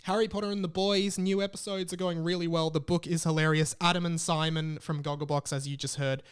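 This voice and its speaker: A man's voice: fairly high pitch, little pitch movement, a little fast, clear, resonant tone. An Australian man hosting a solo podcast in a casual, rambling and informal way, with exasperated asides. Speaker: podcast host.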